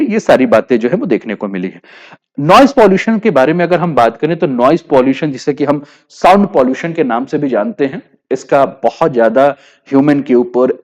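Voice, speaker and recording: A man's voice: a little high, darkish tone. A man with an Indian accent teaching in an explanatory lecture style, didactic, often rephrasing his points and leaning on verbal tags. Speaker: teacher of current affairs for exam students. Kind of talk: explanatory lecture in Hindi with English terms.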